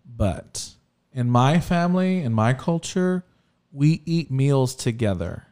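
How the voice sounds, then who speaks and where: A man's voice: mid-range voice, animated, takes it slowly, a little mellow. A man on a podcast, giving blunt, profane advice in casual chat. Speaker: podcast co-host.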